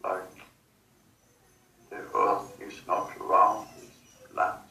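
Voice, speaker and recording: Male, trance-channelling subject: halting, very slow, bright gravelly edge, distant roomy recording with faint noise. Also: low voice, monotone. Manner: halting, broken phrases with long gaps. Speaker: hypnosis subject channelling otherworldly beings. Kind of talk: slow, halting channelled monologue in a guided session.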